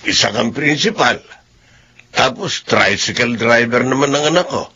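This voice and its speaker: Casting: male actor for older working-class dad, disapproving and worried, rough creaky voice, muffled drama recording. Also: medium pitch, expressive, unhurried, bright, forceful tone, heavily acted. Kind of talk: radio drama acting.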